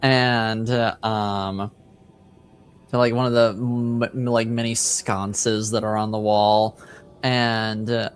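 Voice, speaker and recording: A man improvising tabletop game narration as game master, hesitant and halting, with many filler words. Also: on the low side, level tone.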